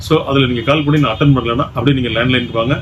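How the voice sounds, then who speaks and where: A man with a Tamil Nadu accent giving an emphatic, repetitive product sales pitch, dropping in English words as he presents. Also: fairly even intonation, very fast. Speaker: shop presenter selling electronics. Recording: dull lo-fi sound with faint background noise.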